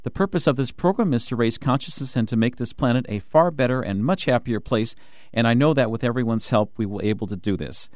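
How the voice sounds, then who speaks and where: A man hosting a radio talk show from the studio, talking in an excited rush with many restarts and fillers. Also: medium pitch, fast, no echo. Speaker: radio host.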